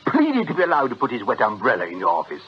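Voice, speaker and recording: An actor playing a jovial, wily British swindlers' ringleader in a radio drama, with an ironic, chuckling, avuncular way of talking. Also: male voice, medium pitch, huge pitch swings, fast. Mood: teasing. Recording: dull radio recording, faint noise.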